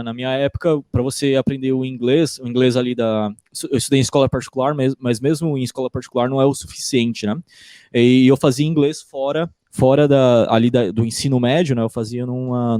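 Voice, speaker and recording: A young man from Brazil, a guest engineer in a casual interview, talking in a relaxed way with many restarts. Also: mid-range voice, dry recording. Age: young adult.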